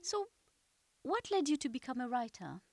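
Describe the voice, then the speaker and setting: A woman's voice: high voice, big pitch swings, moderate pace, noisy background. A woman interviewer from a theatre company asking prepared questions. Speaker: interviewer, theatre artistic director.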